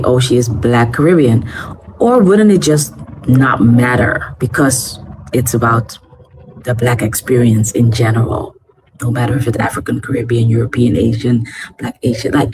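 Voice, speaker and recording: A woman's voice: very deep voice, moderate pace. A woman from Ghana speaking in a played clip, musing in a hesitant way with fillers and rhetorical questions. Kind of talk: casual opinion talk. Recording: noisy clip audio.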